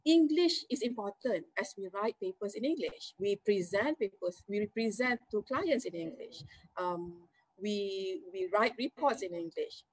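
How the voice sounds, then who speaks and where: A woman with a Malaysian accent, Malay her first language, answering interview questions as a company executive in an energetic, emphatic way, listing points and adding tag questions. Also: mid-range voice, a little slow, slightly rough, dry recording, poor audio. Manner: energetic, emphatic, lists points with rising tags.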